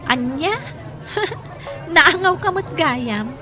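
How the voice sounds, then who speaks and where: A smitten young market woman in a radio comedy drama. Her voice is high and expressive, teasing and quick to exclaim, with music and crowd sound clearly behind it. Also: exaggerated voice. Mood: teasing, surprised.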